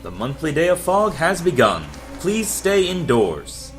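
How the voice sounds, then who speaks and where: A man playing a dystopian city's loudspeaker announcer with measured official delivery, in a drama reading. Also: on the high side, big pitch swings, soft background music. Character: citywide loudspeaker announcer of an orderly dystopian regime. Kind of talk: dramatic script table read.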